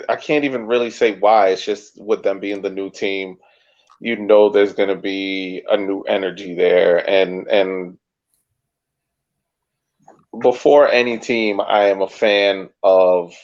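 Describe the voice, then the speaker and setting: Slow, low-voiced man on slightly muffled audio. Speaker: podcast panellist. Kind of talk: casual sports podcast chat.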